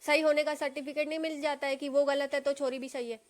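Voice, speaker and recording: A woman with a very high voice argues over a recorded phone call. She is stubborn and defiant, and her retorts are curt. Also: level tone, fast. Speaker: devotee arguing on a phone call. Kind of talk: phone argument.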